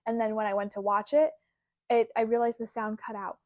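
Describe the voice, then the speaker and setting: A woman's voice: a little high, little pitch movement, a little fast, a little mellow, no echo, studio quality. A young woman vlogging about her craft in a casual, bubbly, rambling way, her voice a little worn from a recent cold. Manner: bubbly and rambling, heavy use of "like". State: getting over a cold, recovering from a sore throat. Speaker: crochet content creator. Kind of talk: casual chatty vlog. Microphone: small clip-on mic.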